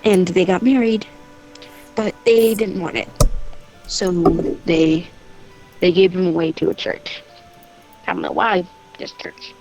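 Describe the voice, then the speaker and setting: A woman's voice: lowish voice, measured pace, pauses often, a little mellow, faint music behind, rough recording. A woman telling a story casually and off the cuff, rambling, with offhand asides. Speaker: storyteller on a gaming channel.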